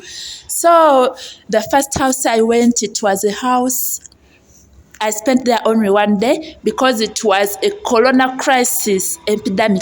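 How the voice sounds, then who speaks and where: A woman from Uganda with a Ugandan accent talks to viewers in a chatty personal vlog, often repeating phrases for emphasis. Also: on the high side. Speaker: vlogger and housemaid. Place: outdoors on a residential street. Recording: noisy outdoor ambient sound. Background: outdoor ambience noise.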